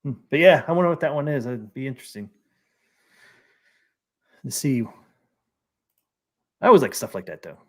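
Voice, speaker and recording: A man's voice: medium pitch, lively intonation, normal speed, pauses often. A man hosting a casual live stream, chatting and reading out viewer comments. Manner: easygoing, reads out viewer comments.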